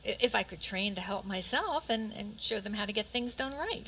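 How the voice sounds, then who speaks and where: Woman as earnest worried princess, radio theater, hesitant, animated, faint background noise. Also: medium pitch, normal speed, gravelly, low-quality recording. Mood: defensive. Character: anxious, earnest, lovestruck fairy-tale princess. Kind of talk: community radio theater acting.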